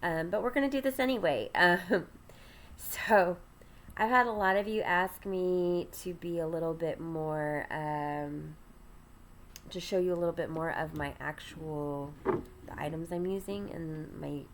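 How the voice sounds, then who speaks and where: A woman's voice: on the low side, unhurried, a little hiss or hum. A woman with a congested, stuffy nasal voice, chatting casually while she does her makeup and rambles with lots of ums. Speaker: ministry host. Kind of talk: casual get-ready-with-me chat.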